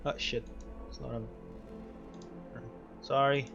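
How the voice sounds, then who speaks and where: A man's voice: medium pitch, little pitch movement, slow, creaky. A man giving casual, hesitant let's play commentary as he reacts to a game. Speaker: gamer playing and reacting. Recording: dull lo-fi mic over game music.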